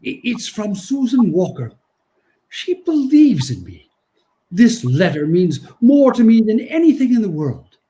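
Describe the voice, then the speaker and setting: A man plays a gentle old Santa in an old-time radio drama. His voice is high for a man and very mellow, swooping with sincere feeling. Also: sing-song, measured pace. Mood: heartfelt. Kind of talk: 1940s-style live radio play acting.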